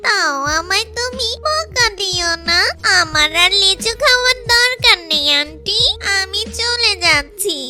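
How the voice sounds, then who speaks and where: A woman's voice: very high-pitched, animated, unhurried, few pauses, over music. A woman voicing a poor little cartoon girl with a whining, pleading, wistful manner. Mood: tearful, pleading.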